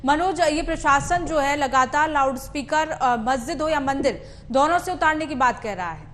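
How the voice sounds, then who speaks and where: A woman presents the news in a high-pitched voice. Her delivery is emphatic, with phrases repeated for weight. A little noise sits behind her voice. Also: expressive, normal speed, fairly bright tone. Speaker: news anchor. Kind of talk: scripted news narration and live interview.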